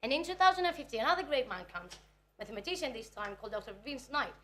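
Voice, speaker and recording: A woman's voice: high voice, lively intonation, fast, pushed and bright. Chatty young Greek woman giving a talk. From Greece. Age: 20s. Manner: nervous, chatty, self-deprecating. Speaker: conference speaker. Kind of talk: technical conference talk.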